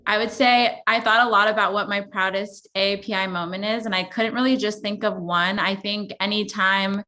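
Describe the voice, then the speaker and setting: Bright-toned woman from Los Angeles. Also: medium pitch, fairly even intonation, normal speed. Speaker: panelist. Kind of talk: panel discussion.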